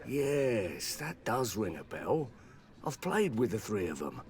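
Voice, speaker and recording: An actor playing a wary tavern card player in a fantasy game, recounting things in a guarded, plain-spoken way. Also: male voice, lowish voice, very animated, takes it slowly. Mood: recalling. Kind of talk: video game dialogue acting.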